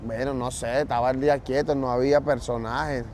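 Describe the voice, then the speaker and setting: A man from Venezuela with a Venezuelan Spanish accent, answering street interview questions in a relaxed, matter-of-fact way outdoors. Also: medium pitch, fairly quick. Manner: relaxed, matter-of-fact, speaks of God's will. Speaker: interviewee, job seeker. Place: outdoors at a store parking lot. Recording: outdoor street noise, traffic.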